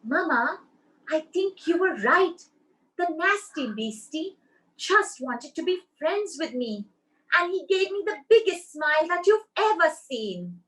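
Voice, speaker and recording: Woman doing a timid young male squirrel, high, shaky and tearful; storybook cartoon read. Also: big pitch swings, slow pace, fairly bright tone, recorded from a distance, full character voice, rough recording. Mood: relieved.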